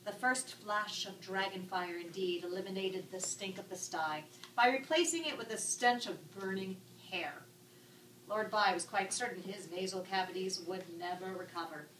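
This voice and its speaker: Lady narrating a story, real expressive n a bit arch, bright voice, echoey room kinda far off. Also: medium pitch, normal speed. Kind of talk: dramatic scripted story narration. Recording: echoey room, distant mic, background noise.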